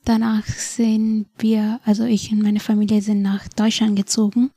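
A woman's voice: mid-range voice, monotone, normal speed, dark tone, slightly rough, no echo. A woman in her twenties from Nepal, a native Nepali speaker, telling her story as a podcast guest, thoughtful and hesitant with many fillers. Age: 20s. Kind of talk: personal story in an interview. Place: podcast studio.

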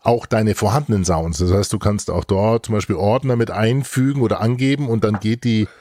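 A man's voice: lowish voice, fairly quick, dark tone, no room sound, studio quality. A man hosting a podcast in a casual, chatty style with a jokey, teasing manner. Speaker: podcast host. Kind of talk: casual chat.

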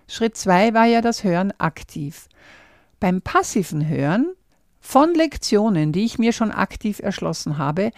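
A woman hosting a language-learning podcast, calmly walking the listener through a method step by step and speaking to them directly. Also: mid-range voice, no echo, studio quality. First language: German. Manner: calm and explanatory, walks through numbered steps.

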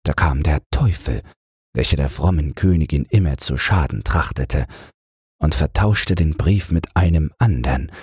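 A man narrating a fairy tale as a bedtime audiobook, calm and soothing. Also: very deep voice, measured pace, slightly rough, no echo, clean studio sound. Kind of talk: scripted fairy-tale narration for falling asleep.

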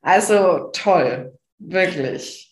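Lively German woman in an echoey room. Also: fairly low pitch, extremely slow. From Bavaria, Germany. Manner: enthusiastic, emphatic, many fillers and restarts. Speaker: podcast guest. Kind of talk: casual interview chat.

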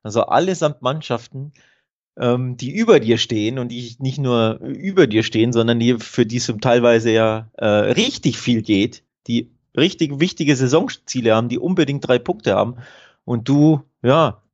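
A man's voice: mid-range voice, moderate pace. Hesitant man on dull lo-fi audio. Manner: hesitant, cautious, hedging opinions. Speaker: betting podcast co-host. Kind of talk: casual football analysis chat.

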